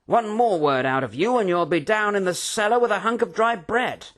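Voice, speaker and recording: A reader voicing a stern, gruff army general who growls his orders. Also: mid-range voice, very animated, brisk, a bit bright, voice pushed up. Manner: growling, curt. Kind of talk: audiobook character voice.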